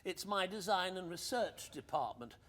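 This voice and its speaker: A man's voice: high voice, expressive, normal speed, fairly bright tone. An actor playing a breezy, cheeky upper-class English ex-army captain in a radio play, with hearty old-boy banter. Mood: breezy, persuasive.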